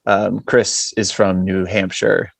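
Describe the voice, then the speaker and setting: American male musician, podcast guest, lower voice, casual, close dry recording. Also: level tone, slow, gravelly, no room sound. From western Massachusetts, USA. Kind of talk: casual chat.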